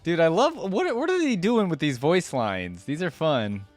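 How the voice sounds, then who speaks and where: A man's voice: on the high side, sing-song, moderate pace, no echo, soft background music. A man giving casual, informal reaction commentary as a video host, often checking in for agreement. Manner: chatty, informal, often seeks agreement with "right?".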